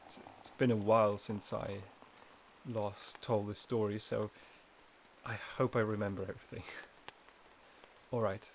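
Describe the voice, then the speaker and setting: A man playing a gentle, shy, caring forest companion in a soft-spoken ASMR roleplay, with a hesitant, slightly stammering delivery. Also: fairly low pitch, flat delivery, a little slow, slight background noise, low-quality recording. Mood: soothing, cozy.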